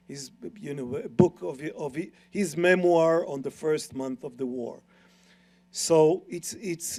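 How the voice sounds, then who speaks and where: A man's voice: a little high, mellow. A middle-aged man with an Israeli accent, explaining his view earnestly and emphatically as a panelist. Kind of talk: panel discussion.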